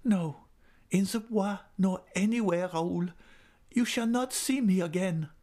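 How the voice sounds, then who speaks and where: A man's voice: high voice, unhurried, a little mellow, pitched above the performer's own voice. Man voicing a despairing young woman singer.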